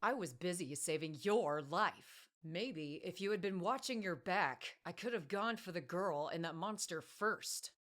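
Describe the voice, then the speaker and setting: A woman voicing a sarcastic male birdman archer, speaking dryly and a little lower than her own voice. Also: measured pace, hardly any pauses, fairly bright tone. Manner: dry and sarcastic.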